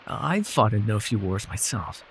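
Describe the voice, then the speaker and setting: A low-voiced, gravelly elf healer, acted by a man, ancient and weary yet gentle. He murmurs in measured, old-fashioned phrases with a wry, teasing edge, as in an intimate fantasy ASMR roleplay, over faint background ambience. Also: very animated, slight background noise, full character voice, rough recording. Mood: wry, chiding. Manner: measured, formal archaic phrasing, soothing.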